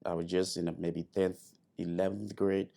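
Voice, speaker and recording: A man from Liberia telling his story in an interview, halting with many fillers and restarts. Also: low voice, level tone, creaky, mellow. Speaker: interview guest, airman.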